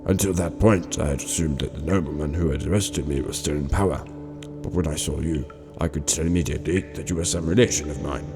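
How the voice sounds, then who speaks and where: A man acts as an old exiled king with an extremely low voice, measured and formal in the manner of a gentle grandfather telling his history. It is amateur radio drama acting, with music clearly playing behind him. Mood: earnest, solemn. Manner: measured, formal, deliberate storytelling.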